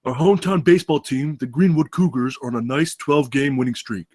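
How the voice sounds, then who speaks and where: A man reading an eager, excitable campus security role at a script table read. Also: medium pitch, fairly quick, no echo, clean studio sound, close to an everyday voice. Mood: explaining.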